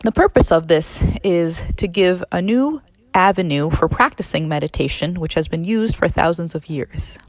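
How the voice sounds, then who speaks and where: A woman narrating a guided meditation as an instructor, in a measured, instructional way with pauses between phrases. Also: lowish voice, lively intonation, no echo, low-quality recording. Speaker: neurologist leading a guided meditation.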